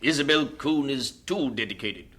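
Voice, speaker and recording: A man's voice: mid-range voice, expressive, normal speed, bright, forceful tone, husky. A man playing a stubborn, formal British senior missionary in an audio drama, with a fussy, proper manner. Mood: fussy, worried.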